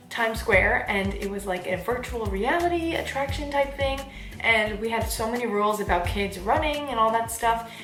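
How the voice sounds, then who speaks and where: A young woman talks casually to her viewers, handing out advice in an animated, bubbly way. Her voice sits at a medium pitch for a woman. The room adds noticeable echo, and soft music plays faintly underneath. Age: 20s. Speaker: vlogger giving application advice. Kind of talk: casual chatty advice vlog.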